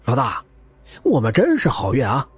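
A man puts on a brash, excitable scavenger sidekick for an audiobook. His pitch swings wildly and the voice is rough-edged and husky. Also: moderate pace, faint music behind, exaggerated voice. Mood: gleeful. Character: excitable male scavenger henchman, gleeful and brash.

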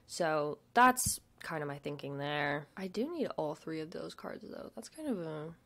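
A young woman with a stutter, chatting casually at her desk about shopping, with lots of fillers and soft murmured asides. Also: low-pitched, big pitch swings, unhurried. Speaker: vlogger. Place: home desk.

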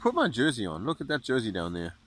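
A man's voice: medium pitch, wildly swooping pitch, fast talker. A man in his thirties, a father filming his young son on a boat and coaxing him along in a playful, encouraging way. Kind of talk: casual family vlog. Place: outdoors on a small motorboat on open water. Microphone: phone camera held close. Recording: windy outdoor phone recording. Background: wind noise and boat engine.

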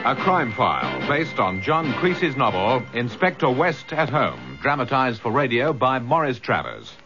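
A man's voice: medium pitch, wildly swooping pitch, unhurried. A man with a British accent announcing a radio drama in scripted broadcast style. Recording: dull radio recording, loud music behind. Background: loud theme music.

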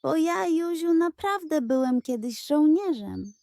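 A woman's voice: high-pitched, animated, unhurried, vocal fry, mellow, no room sound, pitched above the performer's own voice, heavily acted. A woman voicing a kind, proud old grandfather and former soldier in a children's storybook, speaking earnestly and tenderly. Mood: wistful pride. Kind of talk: children's audio storybook character.

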